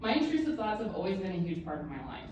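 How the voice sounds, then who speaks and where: A woman's voice: medium pitch, big pitch swings, fast, a little mellow, lo-fi sound. Candid woman speaking in an echoey room. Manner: candid, self-deprecating humor. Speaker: author giving a speech. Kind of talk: personal speech to an audience. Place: event room with an audience. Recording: echoey room, distant mic, background noise.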